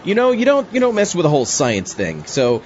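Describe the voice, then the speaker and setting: A man's voice: on the high side, wildly swooping pitch, a little fast, highs cut off, slight background noise. A man playing a wry, chatty zombie astronaut who hosts a comedic audio drama. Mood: wry hosting. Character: wry, cautious zombie astronaut sidekick and show host.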